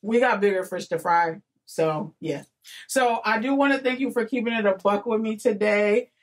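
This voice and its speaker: A young woman hosts a podcast chat. Her voice sits at a middle pitch and her talk is informal and full of slang. She speaks into a close studio microphone in a small room. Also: normal speed. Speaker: podcast host. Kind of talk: casual podcast interview chat. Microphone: boom-arm studio microphone with headset.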